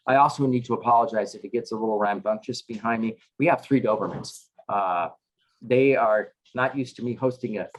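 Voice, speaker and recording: A middle-aged man, a chaplain, teaching a casual scripture class over a video call, halting with lots of filler sounds and dropping wry asides. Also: lowish voice, low-quality recording. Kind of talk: casual online scripture lesson. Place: home with dogs in another room.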